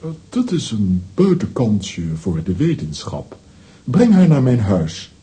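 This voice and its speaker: A low, mellow professor, scholarly and self-satisfied. His pitch swoops widely through slow, deliberate phrases in a children's audio play. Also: huge pitch swings. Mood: pleased, assured.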